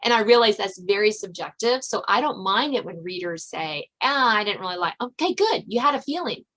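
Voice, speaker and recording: An American woman hosting a casual interview about writing, talking in an animated, conversational way. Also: medium pitch, a little fast. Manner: animated, conversational, quotes others' reactions in dialogue. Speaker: podcast host and suspense novelist. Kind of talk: casual author interview chat.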